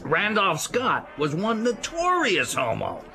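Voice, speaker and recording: A man's voice: high voice, huge pitch swings, takes it slowly, gravelly, pushed and bright, soft background music, exaggerated voice. An actor playing a crude, bragging middle-aged American father in a radio drama, with slangy, leering talk. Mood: boastful, leering.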